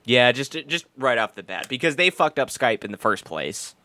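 A man's voice: medium pitch, sing-song, normal speed, bright, forceful tone, dry recording. Sarcastic, swearing male podcast co-host. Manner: sarcastic, profane, joking. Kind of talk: casual, irreverent banter and ranting.

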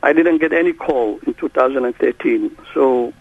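A man whose first language is Somali, a court interpreter speaking as a guest in a halting way, with many restarts. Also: mid-range voice, slightly rough, no room sound. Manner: halting, restarts often, non-native phrasing. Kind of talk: phone interview. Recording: tinny phone line.

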